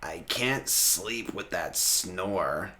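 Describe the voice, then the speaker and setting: A man's voice: low voice, animated, drawn-out words, pushed and bright, exaggerated voice. A man voicing a tired, fretful male dinosaur neighbour in a children's storybook, in a weary, complaining way. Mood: weary, annoyed.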